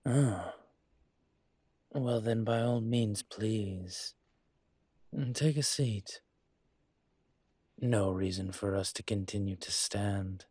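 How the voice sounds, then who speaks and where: A man playing a courtly, possessive dragon mafia boss in an intimate audio roleplay, speaking in a suave, teasing, formal way with a husky voice. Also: lowish voice, drawn-out words, pauses often, a little mellow, no room sound, heavily acted. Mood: suave, flirtatious. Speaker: voice actor.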